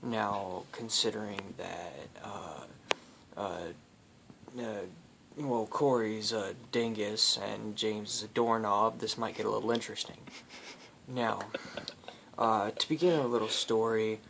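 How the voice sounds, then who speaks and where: A man hosting casual improvised storytelling, rambling and halting as he makes it up. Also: lowish voice, fairly even intonation, creaky, a bit bright, a little hiss or hum. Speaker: storyteller, host.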